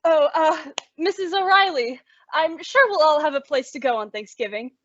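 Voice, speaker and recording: Sensible young peacemaker, played by a woman, wry, high voice, radio comedy, dry lo-fi sound. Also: lively intonation, normal speed, a bit bright, no room sound. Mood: polite. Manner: sweetly diplomatic, wry. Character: sensible young working girl, exasperated peacemaker. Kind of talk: old-time radio comedy acting. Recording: dull lo-fi recording.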